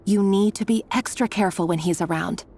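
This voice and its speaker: A woman's voice: mid-range voice, a little fast, dark tone, natural voice. Woman acting a guarded, resolute young woman. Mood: earnest, guarded. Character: earnest, secretive, protective young woman hiding her lineage. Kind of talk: scripted video game voice acting. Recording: game dialogue with music underneath.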